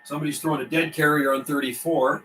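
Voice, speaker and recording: A man's voice: on the high side, steady tone, fast talker, sharp tone. An older man, chatting casually and calling out as a CB radio operator on a livestream, in a folksy way with repeated radio phrases. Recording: roomy, distant mic, faint noise.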